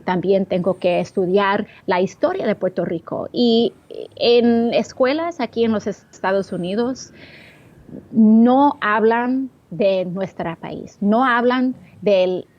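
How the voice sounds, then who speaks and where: A young woman from the Bronx speaks as an interview guest, feeling her way through each sentence in her second language. She restarts phrases and pauses on fillers. Her voice sits at an ordinary pitch and comes through slightly muffled. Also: a little mellow. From the Bronx, New York. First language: English. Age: young adult. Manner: hesitant, searching for words, frequent restarts. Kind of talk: interview answers.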